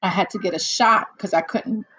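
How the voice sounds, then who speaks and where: A middle-aged woman hosting a casual livestream chat, rambling and chatty with lots of fillers and laughs, a little hoarse as she loses her voice. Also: mid-range voice, a little fast, sharp tone, no echo. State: losing her voice, slightly hoarse. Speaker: livestream host. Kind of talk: casual chat with live viewers.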